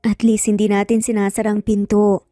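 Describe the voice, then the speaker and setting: A woman playing an earnest, guarded mother in a story, speaking calmly and sincerely. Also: mid-range voice, no echo, clean studio sound, soft background music, close to an everyday voice. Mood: calm, hopeful. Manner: calm, measured, sincere. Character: earnest, guarded mother, gently firm with her children. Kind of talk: dramatized storytelling.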